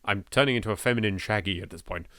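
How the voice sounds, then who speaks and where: A man's voice: on the low side, racing, pushed and bright, no echo, clean studio sound. A man with an English accent doing theatrical, sweary let's play commentary with jokey asides. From England. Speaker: let's play video maker.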